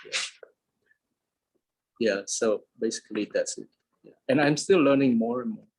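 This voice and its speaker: A young man from Medan whose first language is Hokkien, answering interview questions in a halting way with many pauses. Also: mid-range voice, slight background noise, rough recording. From Medan, Indonesia. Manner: hesitant, many "uh" fillers. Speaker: interviewee, polyglot.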